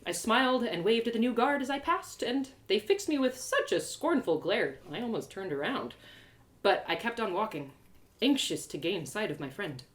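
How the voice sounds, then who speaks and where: A woman reading her fantasy story aloud in a theatrical, expressive first-person narration. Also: mid-range voice, moderate pace. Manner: theatrical, animated delivery.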